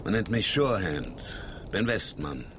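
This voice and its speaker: An actor playing a tough, big frontiersman in his fifties in a German radio drama, measured and courteous yet menacing. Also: sits low, very animated, background noise. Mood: courteous, grim. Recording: music bed behind dialogue.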